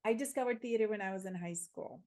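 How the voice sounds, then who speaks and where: A woman's voice: mid-range voice, fast talker, a little mellow. A woman from Slovakia, telling personal stories conversationally as an interview guest, often repeating words as she goes. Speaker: interview guest, theater teacher.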